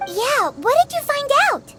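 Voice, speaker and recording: A woman playing a tiny, excitable companion creature in a video game, chirpy and childlike. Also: very high voice, a little slow, heavily acted. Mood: perky, curious. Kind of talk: video game character acting. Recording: music clearly behind the voice.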